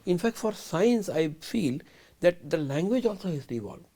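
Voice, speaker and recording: A man's voice: sits high, big pitch swings, normal speed, mellow. An older man, a guest scientist in a studio interview, arguing emphatically and asking rhetorical questions.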